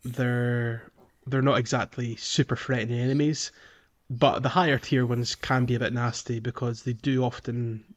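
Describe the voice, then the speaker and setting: A man's voice: mid-range voice, little pitch movement, moderate pace. A man co-hosting a casual gaming podcast, talking in a thoughtful, rambling way with some hedging. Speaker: podcast co-host.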